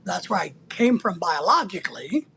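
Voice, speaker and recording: A livestream host from the United States with a husky voice, chatting casually and bluntly while teasing viewers and rambling through answers to their comments. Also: mid-range voice, big pitch swings, normal speed, fairly bright tone, a little hiss or hum. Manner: blunt, teasing, sometimes breaking into playful exclamations.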